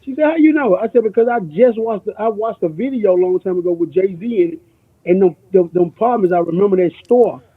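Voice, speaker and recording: A man's voice: sits high, a little fast, husky. A man from Memphis, telling stories as a guest in casual, slangy chat, repeating himself for emphasis. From born in New York, grew up in Memphis. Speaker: guest, music producer. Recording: dull lo-fi radio-like sound.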